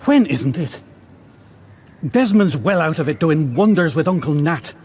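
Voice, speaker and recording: Resentful eldest son; light, animated voice. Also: male voice, on the high side, unhurried, slightly rough, full character voice. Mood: sullen. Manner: sullen, resentful. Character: gloomy, bitter young farmer's son. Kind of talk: radio drama acting.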